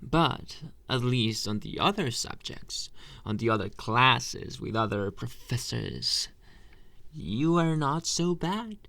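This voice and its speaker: A flirtatious anime professor, acted out by a man, talks unhurriedly in a medium-pitched voice with a gravelly fry. He is coy and teasing, leaving pauses as he addresses the listener in a close, dry ASMR roleplay. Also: expressive, sharp tone, dry recording, full character voice. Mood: stern, teasing. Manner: slow, teasing, hesitant pauses, murmured asides, pet names.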